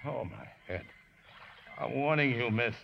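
An actor playing a rough, hard-drinking, uneducated riverboat skipper who blusters and whines in folksy, ungrammatical talk, in a 1950s radio drama. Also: male voice, mid-range voice, lively intonation, measured pace. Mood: hungover misery. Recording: noisy, dull 1950s radio recording.

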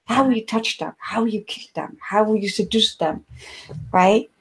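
A woman's voice: mid-range voice, normal speed, slight background noise, low-quality recording. A woman from Ecuador, a somatic healer hosting a podcast conversation, talking in a searching, rambling way with many fillers. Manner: searching, rambling, filler-heavy, often laughs.

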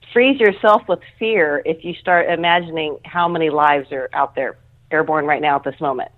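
A middle-aged woman being interviewed, explaining earnestly and informally and often rephrasing as she goes. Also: fairly low pitch. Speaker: interview guest, air traffic control manager. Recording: thin phone-like line.

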